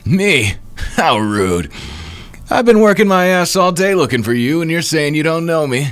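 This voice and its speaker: A man's voice: a little high, sing-song, moderate pace, a bit bright, voice pushed up. A man voicing a cocky, mocking villain from a comic with theatrical, taunting delivery. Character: cocky, mocking villain feared as a merciless angel.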